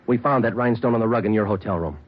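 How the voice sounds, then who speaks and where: A relentless police sergeant in an old radio crime drama, played by a man, with a clipped, matter-of-fact delivery. He talks fast in a lowish, husky voice. Faint music sits under a dull, lo-fi broadcast sound. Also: lightly acted. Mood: steady. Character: male police sergeant, calm and relentless interrogator. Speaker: police detective. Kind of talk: 1940s radio acting.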